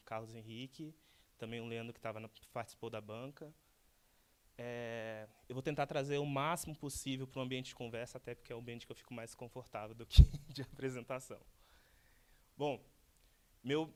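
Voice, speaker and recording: A man's voice: mid-range voice, clear, resonant tone. A Brazilian man in his thirties giving a talk as an architect, in a conversational way with many restarts and repeated words. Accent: Brazilian Portuguese. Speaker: guest speaker, architect. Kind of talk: lecture told as casual conversation.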